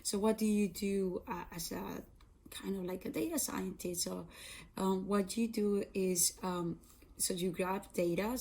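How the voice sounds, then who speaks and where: A woman's voice: mid-range voice, dark tone. A woman in her thirties from Colombia with a Colombian Spanish accent, giving an earnest personal talk about her career with frequent fillers and restarts. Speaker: software engineer and data scientist.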